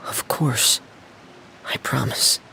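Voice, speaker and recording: An actor playing a shy, withdrawn teenage boy in a video game, gentle, hesitant and subdued. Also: slow pace, background noise, close to an everyday voice. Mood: hesitant, downcast.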